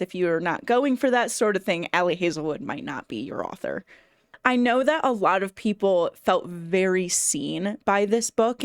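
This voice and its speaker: A woman's voice: on the low side, dry recording. Woman chatting casually, close dry sound. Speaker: book reviewer. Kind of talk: casual book recommendation chat to camera.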